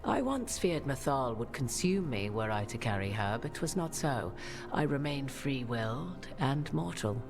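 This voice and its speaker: A woman playing an imperious, enigmatic sorceress in a fantasy game, with archaic, measured and knowing speech. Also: a bass voice, very animated, music in the background, full character voice. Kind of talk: dramatic fantasy game dialogue.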